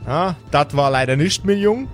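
Higher-voiced man narrating over music. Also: animated. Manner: dramatic, deliberate storytelling with casual asides. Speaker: game master. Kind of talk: live improvised tabletop game narration. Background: background music.

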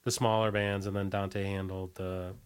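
A man's voice: sits low, level tone, smooth. A man reminiscing casually as an interview guest, telling stories loosely and often trailing off. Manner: halting, trailing off, loose storytelling.

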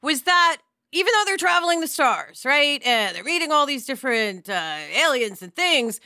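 A woman's voice: high voice, sing-song, moderate pace, pushed and bright, no echo, studio quality. A woman talking casually and emphatically as a podcast panelist, sharing reflective opinions.

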